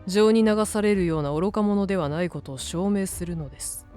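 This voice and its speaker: A woman's voice: mid-range voice, a little fast, mellow, soft background music, lightly acted. A woman playing a proud, insecure young male knight-keeper in a fantasy drama, formal and defensive. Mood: resolute. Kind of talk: dramatic scripted voice acting.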